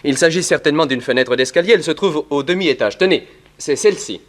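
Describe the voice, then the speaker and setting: An actor playing an earnest, polite veterinarian who gives deliberate, orderly testimony in a 1960s French radio drama. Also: male voice, on the high side, natural voice. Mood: earnest, helpful. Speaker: actor in a detective radio serial.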